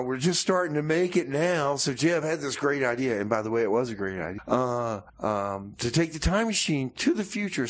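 A man hosting a comedic radio show in a rambling, hesitant way, repeating his words as he goes. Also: medium pitch.

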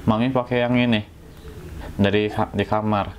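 Male vlogger, lowish voice, West Java Indonesian accent, informal jokey tutorial chat, noticeable room noise behind him. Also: level tone, moderate pace. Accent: Sundanese-region Indonesian. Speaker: motovlogger. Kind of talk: casual hands-on tutorial chat. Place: indoors at home.

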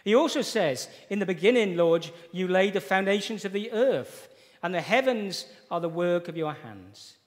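A man leading a church service, reading scripture aloud in an earnest, measured way and praying. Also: high-pitched, lively intonation. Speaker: church leader introducing the preacher. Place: church sanctuary with wooden panelling. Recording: long reverb of a church hall.

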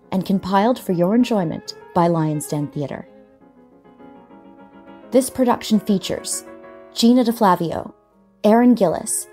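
A woman announcer reads a scripted radio drama introduction. Her voice is mid-pitched, with faint music playing behind it. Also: dark tone.

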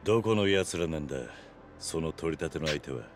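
An actor playing a calm, blunt yakuza lieutenant who is stern and unyielding, in a Japanese game cutscene. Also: male voice, very deep voice, a little fast, a little hiss or hum. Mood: calm, terse. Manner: blunt, terse, composed.

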